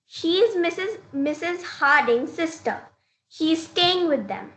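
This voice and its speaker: A woman's voice: very high-pitched, animated, measured pace, fairly bright tone, a little hiss or hum, heavily acted. A woman playing a strict mother who instructs her daughter firmly, in a classroom reader's theater play. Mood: explaining. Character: strict, advising mother of a talkative young girl. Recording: roomy, echoing room sound.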